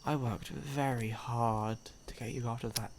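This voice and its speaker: A man playing a suave, sly, hungry male vampire in an ASMR audio roleplay, speaking in a teasing, drawn-out manner. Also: mid-range voice, fairly even intonation, background noise, close to an everyday voice. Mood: hungry, seductive. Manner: slow, drawn-out, teasing, with breathy chuckles.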